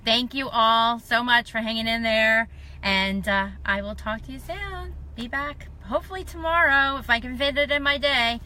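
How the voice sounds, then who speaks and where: A woman's voice: on the high side, moderate pace, pushed and bright. A middle-aged woman with a slight lisp, chatting casually and self-deprecatingly on a live stream. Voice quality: slight lisp from a dental appliance.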